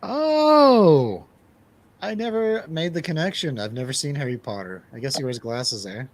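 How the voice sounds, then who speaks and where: A man chatting casually on a movie review panel, animated and repeating phrases for emphasis. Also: fairly high pitch, moderate pace.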